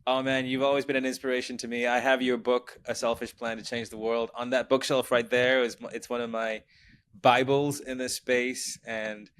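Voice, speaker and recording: A man in his forties from Sri Lanka, a guest speaking from home in a measured way, often saying "you know". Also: medium pitch, level tone, a bit bright, clear, resonant tone. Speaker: guest, brand consultant. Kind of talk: casual interview chat.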